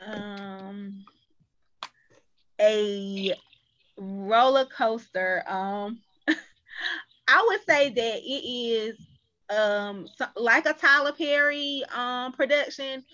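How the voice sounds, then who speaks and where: Woman guest, talks real slow n hesitant, bit amused, highish, kinda muffled. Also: sharp tone. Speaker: voice cast member, guest. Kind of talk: casual chat.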